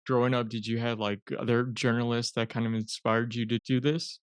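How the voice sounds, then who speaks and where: A man's voice: medium pitch, little pitch movement, brisk, clean tone, dry recording, clean studio sound. A man hosting a podcast interview, asking friendly, admiring questions in a casual way. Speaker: podcast host.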